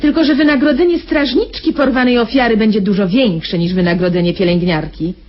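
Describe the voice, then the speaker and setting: An actress playing a cunning, cold-blooded blackmailing nurse in a radio play, speaking with sly, mock-sweet insinuation. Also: female voice, on the high side, brisk, natural voice. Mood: coolly menacing. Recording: muffled, noisy old radio play recording.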